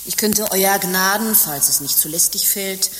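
Bitter, world-weary nun in reverberant space, played by an actress. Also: female voice, mid-range voice, unhurried, fairly bright tone, natural voice. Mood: bitter irony. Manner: theatrical, ornate formal speech breaking into coarse cursing. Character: bitter, world-weary former harlot turned nun, mocking and defiant. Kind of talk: radio play acting. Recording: hissy recording with long reverb.

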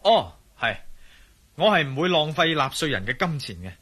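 A man's voice: a little high, big pitch swings, normal speed, pushed and bright, slightly rough, full character voice. A man acting a cool, wry adventurer hero in a Cantonese radio drama, with a Hong Kong accent. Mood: wry, dismissive.

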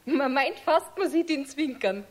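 A friendly Bavarian customer with a fairly high voice. She is chatty, polite and easily delighted, in the style of an old children's radio play. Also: expressive, unhurried. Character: friendly, delighted adult female customer and neighbour.

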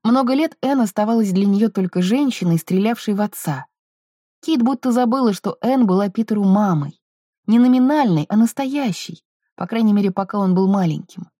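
A woman's voice: mid-range voice, expressive, moderate pace, dark tone, no echo, studio quality. A woman reading literary fiction aloud as a scripted audiobook narration.

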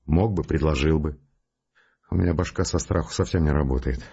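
A raspy, skeptical, grumbling man. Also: extremely low pitch, fairly quick, mellow, dull audio, full character voice. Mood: nervous reasoning. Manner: colloquial, wry, reluctant. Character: rattled, skeptical, grumbling male scientist. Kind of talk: Russian audio drama acting.

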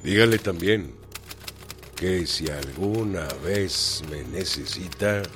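An actor with a Mexican accent playing a proud, blunt revolutionary in a radio drama, speaking emphatically. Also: male voice, sits low, slow, over music. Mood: earnest, urgent.

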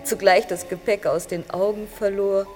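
An actress playing a wistful woman who recalls her past in a reflective, halting radio drama monologue. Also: mid-range voice, steady tone, natural voice. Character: wistful woman recalling family summers and deportation. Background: music.

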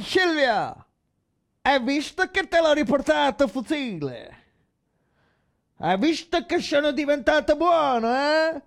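Radio drama villain: young turncoat, mocking and cocky, very high pitch, slow sarcastic drawl. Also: male voice, gravelly, heavily acted. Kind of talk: theatrical radio drama acting.

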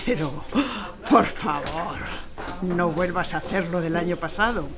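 An actress playing a brisk, bossy woman executive producer in radio theatre, speaking with cutting, emphatic authority. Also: lowish voice, very noisy, lightly acted. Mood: impatient, domineering.

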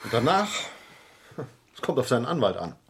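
An actor playing a sarcastic, easily piqued young lawyer, the son in a German radio crime drama, with emphatic theatrical delivery. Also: male voice, mid-range voice, sing-song, moderate pace, creaky, husky, exaggerated voice. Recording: vintage radio play studio recording.

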